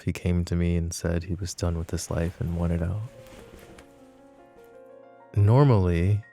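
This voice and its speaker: A man acting a flirty, possessive mafia boss in an intimate ASMR roleplay, speaking softly and unhurriedly in a teasing, world-weary way. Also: sits low, vocal fry. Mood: quiet, reflective. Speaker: voice actor.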